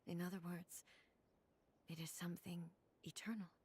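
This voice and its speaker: A woman voicing a composed, regal ruler in a video game, speaking in a measured, formal, reflective way. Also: fairly low pitch, level tone, a little mellow, a little hiss or hum, close to an everyday voice. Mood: solemn, reflective. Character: composed, regal female ruler, solemn and philosophical. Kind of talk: video game story dialogue.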